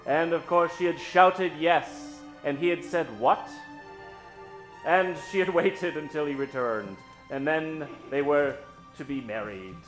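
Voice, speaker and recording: A man hosting a live old-time radio show, introducing characters from the stage in a dramatic, drawn-out storytelling style. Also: fairly high pitch, a bit bright, faint music behind, low-quality recording. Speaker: host and announcer. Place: stage before a live studio audience. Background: musical accompaniment.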